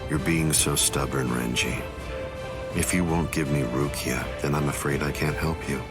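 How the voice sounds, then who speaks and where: A man voicing a calm, coldly condescending villainous captain in an anime game, with measured, composed delivery. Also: extremely low pitch, vocal fry. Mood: softly menacing. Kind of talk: English voice acting for anime game cutscene. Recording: dramatic music behind the voice. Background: loud music.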